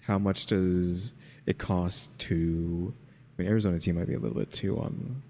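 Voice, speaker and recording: A man's voice: low voice, fairly even intonation, moderate pace, vocal fry, dark tone, long reverb tail. A man giving casual reaction commentary, rambling and thinking aloud as he speculates.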